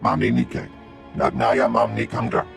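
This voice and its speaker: A man's voice: lowish voice, flat delivery, a little slow, gravelly, over music. A man voicing an alien creature with invented speech in a video game. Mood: flat, guttural. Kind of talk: scripted video game dialogue.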